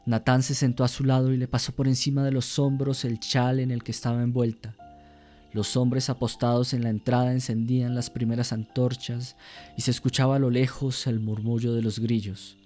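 A man narrating a literary radio drama in a measured, solemn, descriptive manner. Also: medium pitch, little pitch movement, darkish tone, soft background music.

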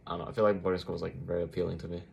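A man's voice: low voice, little pitch movement, rapid-fire, gravelly, darkish tone, lo-fi sound, slight background noise. A young man from Thailand, an international college student, chatting easily in an interview and leaning on "like" fillers. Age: young adult. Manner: easygoing, heavy use of "like" fillers. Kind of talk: casual interview chat.

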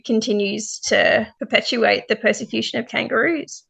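A woman presenting a radio chat, friendly and quick to laugh. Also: fairly high pitch, fairly even intonation, creaky, a bit bright, breathy edge. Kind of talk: casual radio interview.

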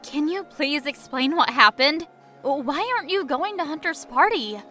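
A woman's voice: high voice, expressive, moderate pace, pushed and bright, soft background music. A woman playing a matter-of-fact, bookish young woman in an audio drama, dryly correcting. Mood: puzzled.

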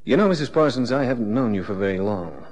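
An actor playing a loyal, plain-spoken private detective with a matter-of-fact manner in a radio drama. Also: male voice, medium pitch, expressive, fast talker, darkish tone, slight background noise, natural voice. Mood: easy, discreet. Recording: long reverberant echo.

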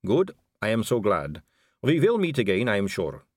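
A man voicing an aristocratic lady vampire in an audiobook, smooth, measured, knowing and condescending. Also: lowish voice, wildly swooping pitch, dry recording, clean studio sound, pitched above the performer's own voice. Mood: polite, brisk. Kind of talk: audiobook character dialogue.

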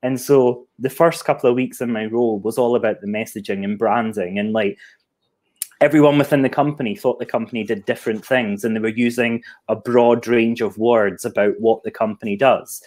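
A man's voice: medium pitch, steady tone, a little fast, a little mellow. A man with a Scottish accent from Scotland, a marketing guest chatting casually and laughing easily on a video call.

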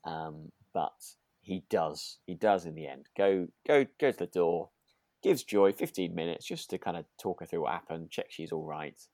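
A man's voice: low-pitched, normal speed, darkish tone, no echo, clean studio sound. A British man co-hosting a podcast, chatting casually and somewhat tentatively as he recaps a radio drama. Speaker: podcast co-host.

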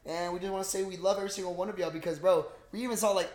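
A young man co-hosting a podcast, chatting casually and excitably in slangy speech. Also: high voice, very fast. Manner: slangy, excitable, says bro and dude often. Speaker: podcast co-host. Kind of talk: casual chat about geek movies. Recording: roomy, echoey sound.

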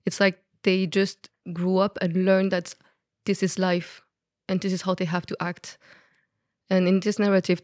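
A woman's voice: fairly low pitch, a little mellow, no room sound, studio quality. A woman from Belgium, a native French speaker with a Belgian French accent, explaining things candidly as a podcast guest in casual conversation.

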